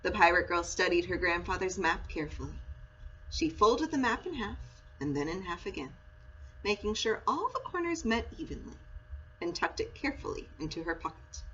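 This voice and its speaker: A woman reading a children's story aloud in an expressive, storytelling style. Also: lowish voice, normal speed. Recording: muffled audio with clear background noise.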